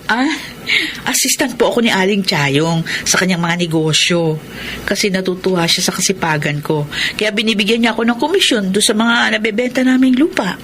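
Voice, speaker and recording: Actress as an earnest young Filipino daughter, noisy audio. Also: female voice, mid-range voice, a little fast, fairly bright tone, natural voice. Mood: earnest, reassuring. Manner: earnest, respectful. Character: dutiful, protective eldest daughter with a secret. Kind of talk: Filipino radio drama acting. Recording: noisy radio drama audio.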